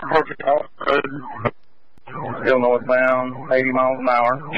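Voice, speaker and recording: A man's voice: mid-range voice, flat delivery, a little slow. A male police officer giving terse pursuit updates over a two-way radio. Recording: dull, noisy police scanner radio.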